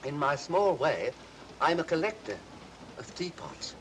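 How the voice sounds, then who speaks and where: An actor playing a sheepish, apologetic petty thief in a 1940s film. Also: male voice, big pitch swings, measured pace, a bit bright, rough recording. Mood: sheepish, contrite. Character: meek, sheepish male petty thief confessing. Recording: old film soundtrack, faint train rumble.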